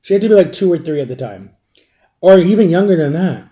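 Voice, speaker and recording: A man in his early thirties with a fairly high voice and a soft, mellow tone, chatting casually to the camera. He rambles through a personal story, backing up to correct himself as he goes. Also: animated, a little fast, dull audio. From Florida. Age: 30s. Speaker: vlogger. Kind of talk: casual storytime chat to viewers.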